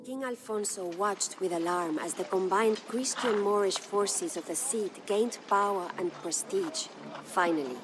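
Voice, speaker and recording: An actress playing a devoted, sorrowful medieval Spanish noble wife who narrates her husband's legend in a solemn, measured way for a game. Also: medium pitch, lightly acted, low-quality recording. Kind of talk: scripted dramatic storytelling narration. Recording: studio narration over prominent music.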